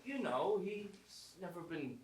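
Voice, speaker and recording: A man playing a placating, strained husband in a staged reading of a play, speaking in a halting, earnest, pleading way. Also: high-pitched, sing-song, full character voice. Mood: tender, solemn. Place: small theatre, live stage performance. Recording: echoey, distant, noisy theatre recording.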